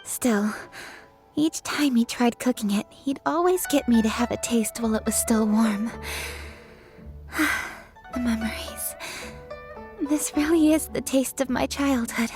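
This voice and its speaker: A woman voicing a composed, earnest young noblewoman official in a game, speaking in a formal, articulate and measured way. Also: on the high side, music in the background. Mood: nostalgic. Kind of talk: dramatic game voice acting.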